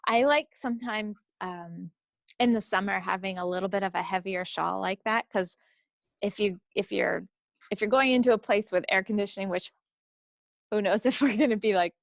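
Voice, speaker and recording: A woman co-hosts a craft podcast in a casual, unscripted way. Her voice sits at an average female pitch and is recorded dry, with very little room sound. She talks informally, as if chatting with a friend. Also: normal speed, vocal fry, dry recording. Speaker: co-host of a knitting podcast.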